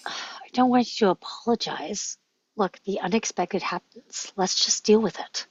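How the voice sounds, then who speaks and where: A woman reading an impatient, excitable scientist in her late twenties, direct and animated, in an amateur table read on slightly muffled audio. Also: medium pitch, unhurried, husky. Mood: frank, pressing.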